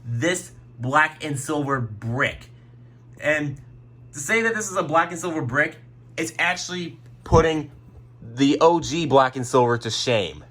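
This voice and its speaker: Bright-voiced man rambling through a show-and-tell. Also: on the high side, lively intonation, a little slow. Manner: rambling, self-correcting, swears casually. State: throat getting sore. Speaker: YouTuber showing his weather radio collection. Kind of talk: casual show-and-tell vlog. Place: at home at night.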